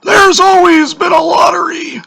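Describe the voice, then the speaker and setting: A man voicing a cranky, petulant old village man who scorns change, with a creaky voice, in a dramatic story reading. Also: very high voice, steady tone, unhurried, sharp tone, no echo, pitched above the performer's own voice, heavily acted. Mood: scornful, petulant. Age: older. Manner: petulant, grumbling, emphatic.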